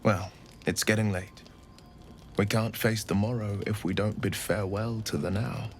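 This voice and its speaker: An actor playing a courtly, romantic young adventurer in a fantasy video game, tender and bashful, with gallant, poetic phrasing. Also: male voice, on the low side, fairly even intonation, moderate pace, darkish tone, soft background music, lightly acted. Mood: romantic, earnest.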